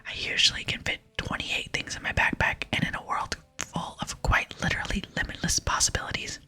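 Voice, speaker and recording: An ASMR gamer voicing a wry, over-explaining game adventurer in a wordy, mock-innocent, breathy whisper. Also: brisk, bright, forceful tone. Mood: mock surprise. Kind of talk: whispered game dialogue reading.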